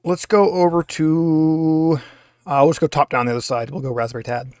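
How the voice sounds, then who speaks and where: A man with a slightly high voice speaks as the game master of an online tabletop game. His delivery is informal and joking, in the manner of casual hosting. The sound is dry and close. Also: level tone, moderate pace, darkish tone, clear, resonant tone, no room sound.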